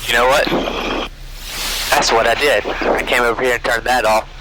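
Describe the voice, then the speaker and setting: A man's voice: mid-range voice, flat delivery, moderate pace. A man who is learning to fly, talking casually and plainly over a headset intercom in a small aircraft. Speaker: student pilot. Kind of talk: casual cockpit talk and radio calls. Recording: loud engine noise over aircraft intercom.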